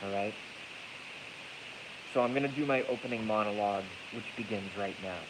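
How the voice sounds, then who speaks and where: A man's voice: fairly low pitch, normal speed. Congested man livestreaming over noisy hiss. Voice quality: congested, stuffy nose. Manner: blunt, profane, repeats phrases for emphasis. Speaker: livestream host. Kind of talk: casual rambling livestream monologue. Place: echoey garage at night. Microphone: iPhone, moved closer during the stream. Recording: hissy noisy iPhone recording.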